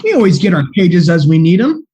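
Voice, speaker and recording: An eager 1940s radio actor who talks with a bright, bouncy lilt that jumps up and down a lot, played by a cast member. Cheerful and enthusiastic, full of pep. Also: medium pitch, big pitch swings, fairly quick, lo-fi sound, slight background noise, close to an everyday voice. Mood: chipper. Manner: chipper, enthusiastic. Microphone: video call.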